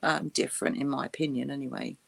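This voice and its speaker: A woman's voice: extremely low pitch, fast, gravelly, husky. A British woman co-hosting a casual chat show in a playful, jokey way. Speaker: co-host of an interactive chat show.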